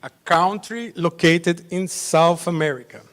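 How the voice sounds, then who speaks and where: A Brazilian judge whose first language is Portuguese, giving a conference presentation in halting English with short, simple phrases. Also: male voice, fairly high pitch, a bit bright. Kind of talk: slide presentation at a summit. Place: large hotel conference hall. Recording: long reverb of a big hall.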